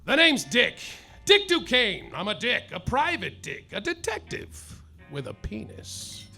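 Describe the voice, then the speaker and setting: A man playing a boozy, hard-boiled male private eye, narrating in a mock-noir deadpan that drags out its punchlines, live on a stage over music. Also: very high voice, measured pace, creaky. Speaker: comedic detective narrator. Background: live music and audience laughter.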